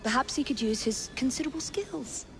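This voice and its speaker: A woman's voice: high-pitched, fairly quick, a little hiss or hum. Prim, cutting young Englishwoman. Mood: polite, arch. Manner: precise, cutting, formal. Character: prim, composed, sharp-tongued young female spy, disapproving sister. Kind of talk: video game cutscene acting.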